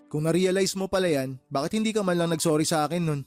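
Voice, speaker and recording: Guy acting fed up younger brother in radio drama, talks fast, resentful and accusing, kinda high voice. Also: darkish tone, soft background music, lightly acted. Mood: sarcastic. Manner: indignant, accusing. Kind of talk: radio drama dialogue in colloquial Tagalog.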